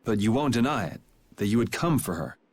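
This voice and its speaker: A man's voice: fairly low pitch, very animated, brisk, a little mellow, slightly rough. A man voicing a laid-back, perceptive young hero in a video game, with a casual, wry manner. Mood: calm, probing.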